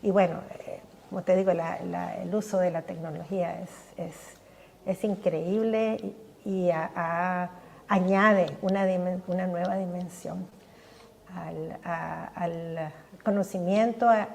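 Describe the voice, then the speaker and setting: An older woman answering interview questions with eager enthusiasm. Her voice has a warm, mellow tone, and she trips over repeated words as she searches for what to say next. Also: on the low side, fairly even intonation, measured pace, creaky, a little hiss or hum. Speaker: guest scientist. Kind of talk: podcast interview.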